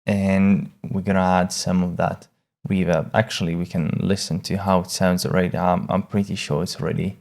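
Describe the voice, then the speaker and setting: A man presenting a casual software tutorial in a hesitant, halting way, often repeating words as he explains each step. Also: sits low, little pitch movement, creaky.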